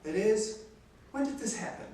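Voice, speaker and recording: A man's voice: high-pitched, expressive, moderate pace, a little mellow. A man playing a tired, sarcastic young husband in a theatrical stage comedy, arguing dryly and emphatically. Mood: exasperated. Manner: dry, argumentative, emphatic. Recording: distant, echoey, very noisy stage recording.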